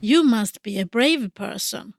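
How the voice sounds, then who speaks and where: A woman's voice: mid-range voice, animated, a little slow. A woman hosting a podcast who asks brief, direct interview questions. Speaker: podcast host.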